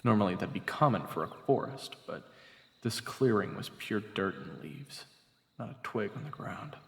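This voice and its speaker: A man's voice: fairly low pitch, animated, normal speed, gravelly. A man giving dramatic first-person scripted narration for an audio story, as its narrator. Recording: echoey long reverb, music behind. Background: background music.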